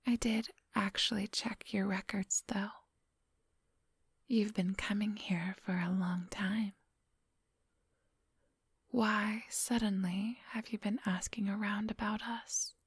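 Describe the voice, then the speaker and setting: A woman's voice: mid-range voice, steady tone, slow pace, long pauses, husky, dry recording, full character voice. A woman playing a coolly hypnotic, controlling female vampire in an ASMR roleplay, whispering breathily in a condescending, coaxing and commanding manner, close to the microphone. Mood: scornful, probing. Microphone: close, dry microphone.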